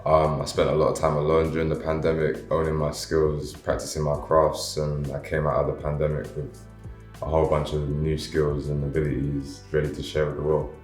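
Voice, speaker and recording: Very deep young man from East London. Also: monotone, fairly quick, a little mellow. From Hackney, East London. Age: 20s. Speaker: interviewee. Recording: roomy echo, faint music.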